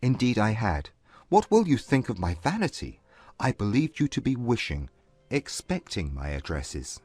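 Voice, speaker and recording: A man playing a proud but humbled gentleman suitor, earnest and formal, in a dramatized classic romance audiobook. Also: medium pitch, huge pitch swings, husky, no room sound, soft background music. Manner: formal, measured period English.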